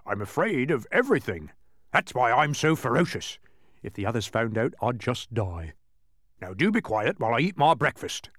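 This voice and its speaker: A man's voice: medium pitch, very animated, moderate pace, fairly bright tone, dry recording, clean studio sound. A man voicing a huge, gelatinous storybook demon who is cowardly and anxious, hedging fretfully and peevishly. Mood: anxious, confiding.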